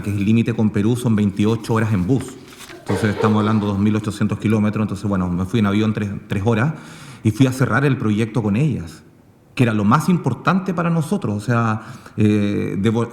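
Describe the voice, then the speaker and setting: A man's voice: on the low side, darkish tone. Echoey man speaking on a panel. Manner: halting, filler-heavy phrasing with repeated "digamos". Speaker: panelist, radio project maker. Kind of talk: spontaneous panel talk. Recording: echoey hall with long reverb.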